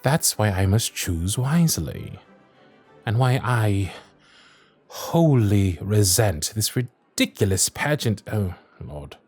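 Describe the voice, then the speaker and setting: A man's voice: lowish voice, big pitch swings, slow, a little mellow, husky, soft background music, full character voice. A man playing a wry Victorian lord. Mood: exasperated. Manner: formal period speech, dry and sardonic. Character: young Victorian male lord, wry, proud, teasing. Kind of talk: ASMR roleplay audio drama.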